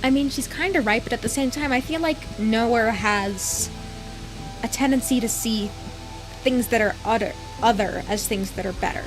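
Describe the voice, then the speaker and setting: A woman's voice: fairly high pitch, moderate pace, music in the background, low-quality recording. Woman thinking aloud over gameplay. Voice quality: thinking aloud, rambling with restarts. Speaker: let's play streamer. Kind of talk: casual gameplay commentary.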